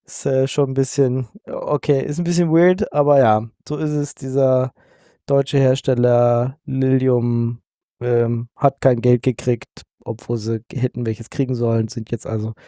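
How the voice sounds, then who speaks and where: A man's voice: mid-range voice, level tone, measured pace, mellow, dry recording, studio quality. A man co-hosting a casual tech podcast, rambling with many fillers and restarts. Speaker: podcast co-host. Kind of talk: casual tech news chat.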